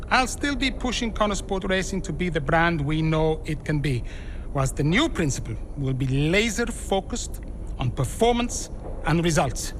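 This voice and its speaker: A man's voice: fairly high pitch, fairly bright tone, slight background noise. An actor playing a flamboyant, commanding male race team owner who speaks formally and teasingly to his staff in a game cutscene.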